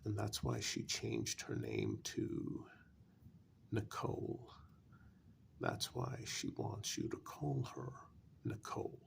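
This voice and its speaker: A man playing a calm, patient castle confidant in a soft-spoken ASMR fantasy role-play, measured and breathy with long pauses. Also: extremely low pitch, a little hiss or hum, full character voice. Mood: grave, explanatory. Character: calm, apologetic male confidant explaining a vampire curse in an old castle.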